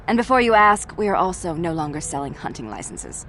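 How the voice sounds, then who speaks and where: A woman playing an officious, businesslike mining company clerk in a video game, with a formal, brisk manner. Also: on the low side, very animated, fairly bright tone. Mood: curt, dismissive. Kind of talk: video game character acting.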